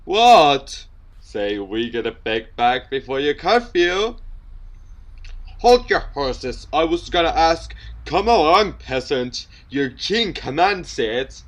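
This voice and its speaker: A man voicing a bossy, brash young male student from a visual novel, loud and commanding. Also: fairly high pitch, animated, takes it slowly.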